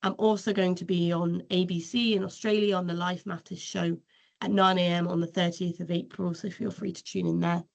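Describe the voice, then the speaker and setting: A woman giving an earnest, measured research presentation over a video call webinar. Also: lowish voice, flat delivery, creaky, lo-fi sound.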